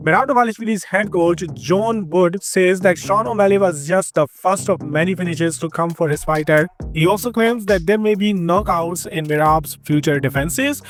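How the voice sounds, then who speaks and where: A man with an Indian accent narrates sports news as a channel host. His voice sits noticeably high for a man. The delivery is scripted narration, with music playing underneath. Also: moderate pace, few pauses.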